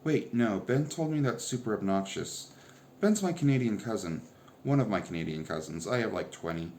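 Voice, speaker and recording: A man's voice: lowish voice, expressive, moderate pace, a little mellow, slight background noise. A man voicing an eager, talkative teenage girl in a storybook reading, firing off bubbly questions. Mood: flustered.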